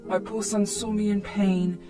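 A woman's voice: medium pitch, little pitch movement, unhurried. Woman reading out a personal story, scripted narration, music behind her.

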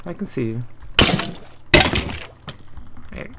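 An English man with an English accent, softly commentating his gameplay and rambling with many ums and uhs. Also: rapid-fire, heavy background noise. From England. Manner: quiet, soft-spoken. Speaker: let's play host.

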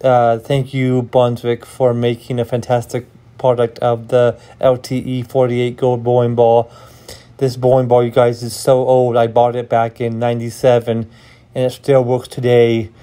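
A middle-aged man chats to his channel in a bowling alley, rambling eagerly with many ums and uhs. His voice is mellow and smooth, with almost no rise or fall in pitch, picked up by a phone nearby. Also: completely flat delivery, moderate pace. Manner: rambling, enthusiastic, many fillers. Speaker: bowling vlogger. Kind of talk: casual vlog talk to camera.

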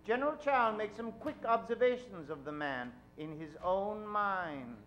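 A man's voice: sits high, animated, unhurried, fairly bright tone. A middle-aged man narrating an old-time radio show on stage, theatrical and suspenseful. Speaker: host and narrator. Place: live stage, at a podium. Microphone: standing microphone.

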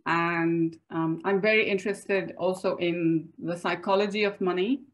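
A woman's voice: fairly low pitch, fairly even intonation, measured pace. A middle-aged woman from India with an Indian accent, speaking informally as a co-presenter on a video call. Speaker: financial advisor, webinar co-presenter. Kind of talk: informal spoken self-introduction to a group. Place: home room with bookshelves, indoors.

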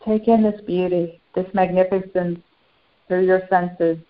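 A woman channeling a serene, benevolent spiritual master, guiding a meditation in slow, short phrases with long pauses. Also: on the low side, steady tone, natural voice. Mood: serene, reverent. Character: serene, benevolent ascended master St. Germain addressing followers. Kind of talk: guided meditation. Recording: dull lo-fi sound.

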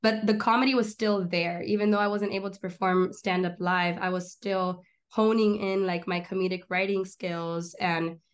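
A young woman in her twenties, born in Miami and raised in Argentina, telling her story as a guest in a casual interview, animated and fast, recorded by a laptop webcam in a small room at home. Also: medium pitch. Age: 20s. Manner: animated, gestures a lot, rapid run-on storytelling. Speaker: guest, comedian and filmmaker. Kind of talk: casual video-call interview. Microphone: laptop webcam on a video call.

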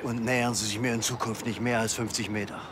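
An actor playing an earnest, easily offended crime writer with a formal, prim way of talking in a TV crime comedy. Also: male voice, medium pitch, flat delivery, quick pace, noisy background, close to an everyday voice. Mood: indignant.

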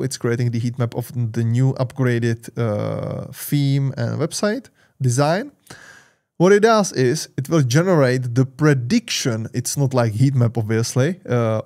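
A man with a Czech accent talks through a software tool on his channel, loose and rambling, full of "uh" and "et cetera." English is not his first language, and he speaks at an ordinary middle pitch into a big studio mic. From Czech Republic. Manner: loose and rambling, many fillers and repeated "et cetera". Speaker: tech channel host. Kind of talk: screen-share software walkthrough. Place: home studio with purple lights. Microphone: large studio mic on arm.

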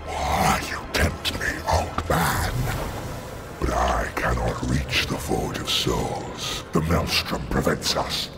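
A man's voice: rumbling low, takes it slowly, full character voice. A man voicing a huge, rotting plague demon in a game cinematic, gloating and sinister with a guttural voice. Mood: gloating, delighted. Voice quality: gravelly, guttural. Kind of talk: video game cinematic character acting. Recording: loud music under the voice.

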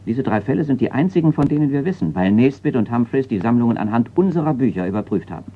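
A man's voice: mid-range voice, lively intonation, quick pace, close to an everyday voice. An actor in a radio crime drama playing a sober, insistent male insurance executive, formal and earnest in manner. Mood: pessimistic, concerned. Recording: dull, noisy radio play recording.

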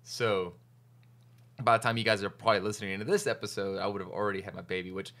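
A man in his thirties hosting a podcast from a small studio into a boom mic, chatting easily with laughs and trailing fillers. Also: steady tone, fast, a bit bright. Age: 30s. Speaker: podcast host, restaurant owner. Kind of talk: casual chat. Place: small studio office with monitors. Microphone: large studio mic on boom arm.

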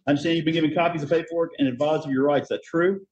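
Muffled, fast-talking male judge. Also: fairly high pitch, steady tone. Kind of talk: brisk procedural court hearing. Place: courtroom over video link. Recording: muffled noisy court video link.

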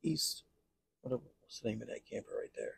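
Folksy man with a slightly husky voice. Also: fairly low pitch, normal speed, no echo. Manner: folksy, rambling, colloquial. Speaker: travel vlogger giving a campground tour. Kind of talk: casual chat with a partner.

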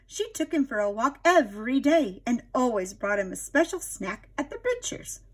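A woman reading a picture book aloud to young children in an expressive teacher's manner. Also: fairly high pitch, moderate pace, slightly rough. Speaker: storybook reader, teacher.

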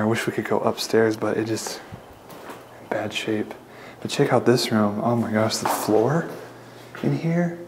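A young man hosting a casual walking tour vlog, chatting to viewers as he explores an abandoned mansion, recorded on a handheld camera. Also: mid-range voice. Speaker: urban explorer host. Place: outdoors and inside an abandoned mansion. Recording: noisy outdoor camera audio.

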